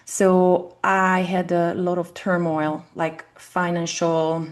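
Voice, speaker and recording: A woman's voice: lowish voice, completely flat delivery, slow. A woman in her forties from Hungary with a Hungarian accent, earnestly explaining her coaching work in an online interview, with small grammar slips of a non-native speaker. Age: 40s. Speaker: guest, family constellation coach. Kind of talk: interview answers. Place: home in Texas, online call.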